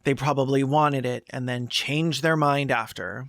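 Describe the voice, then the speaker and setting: Guy doing sarcastic video essay commentary, mid-pitched, super dry sound. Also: steady tone, measured pace, fairly bright tone, no echo. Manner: sarcastic, scornful. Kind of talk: scripted opinionated commentary.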